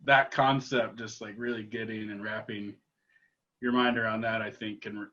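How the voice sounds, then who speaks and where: A male interviewer with a bright, forward tone and a relaxed, agreeable way of talking, full of fillers. The sound comes through dull and lo-fi. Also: fairly low pitch, normal speed. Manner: relaxed, agreeable, many fillers and short backchannels. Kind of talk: casual interview chat.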